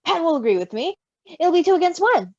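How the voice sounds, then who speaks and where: A woman's voice: very high-pitched, sing-song, fast, no room sound, highs cut off, voice pushed up. A woman voicing a weary, worried male spider creature, a reluctant and fretful parent, in a storybook reading. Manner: sighing, fretful.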